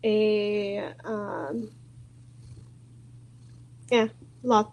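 Very halting, nervous American woman learning French. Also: medium pitch, little pitch movement, highs cut off. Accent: American accent in French. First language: English. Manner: hesitant, nervous, shy, searching for words. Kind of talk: halting conversation practice.

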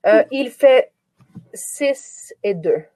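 A woman's voice: a little high, animated, drawn-out words, mellow, no echo. A woman from Quebec, chatting as an encouraging, reassuring conversation partner. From Quebec, Canada. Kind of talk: casual question-and-answer conversation practice.

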